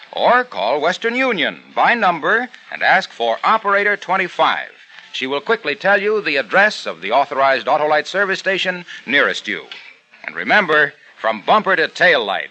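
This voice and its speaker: A man reading a sponsor commercial on 1950s radio with a hearty sales pitch. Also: sharp tone, poor audio. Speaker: sponsor announcer.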